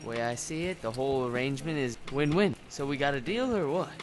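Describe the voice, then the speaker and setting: Male actor, mid-pitched, as jittery ex-soldier drifter: evasive, clipped, old radio crime drama, clear music behind. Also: animated, a little fast, lightly acted. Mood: hard-nosed. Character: anxious, scarred war veteran turned shady drifter. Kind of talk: old time radio acting.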